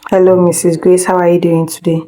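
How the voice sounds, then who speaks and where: A woman's voice: on the low side, little pitch movement, fast, warm tone, low-quality recording. A woman teaching an informal step-by-step screen tutorial, instructing directly, repeating herself and checking that learners follow. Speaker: video editing instructor.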